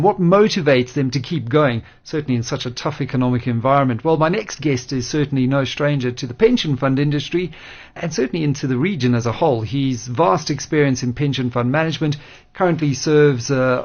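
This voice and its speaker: A man hosting a radio interview, speaking in measured, formal phrases with hesitant pauses. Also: medium pitch, dull audio. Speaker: radio show host interviewing a guest.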